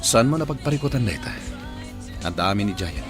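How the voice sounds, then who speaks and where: A man's voice: fairly low pitch, big pitch swings, fairly quick, over music. A man playing an indulgent, easily flustered cheating husband in an Ilocano radio comedy drama, with an Ilocano accent.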